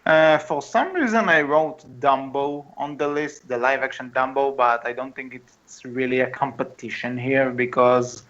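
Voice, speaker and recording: A man's voice: mid-range voice, measured pace, hardly any pauses, fairly bright tone. A man co-hosting a podcast, discussing casually and readily arguing his point. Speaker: podcast co-host. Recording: dull lo-fi home mic.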